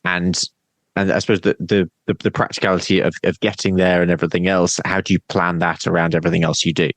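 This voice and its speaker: A man's voice: low voice, little pitch movement, fast talker, no room sound. A man hosting a podcast interview, asking questions in a hesitant way and repeating words as he goes. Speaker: podcast host. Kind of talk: casual interview.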